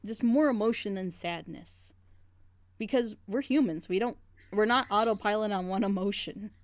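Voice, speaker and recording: A woman's voice: mid-range voice, normal speed, dry recording. A woman in a casual group reaction chat, talking earnestly and passionately in long, rambling stretches. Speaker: reaction group member leading the discussion.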